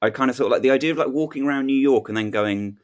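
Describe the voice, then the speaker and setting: A man's voice: mid-range voice, racing. A man chatting casually as a guest on a comedy podcast, telling whimsical, rambling anecdotes and acting out little quotes. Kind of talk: casual comic chat.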